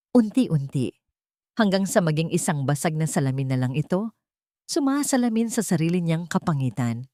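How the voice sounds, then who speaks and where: Woman, Filipino accent, lowish pitch, dry recording, dramatic story narration, measured phrasing. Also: darkish tone, no echo.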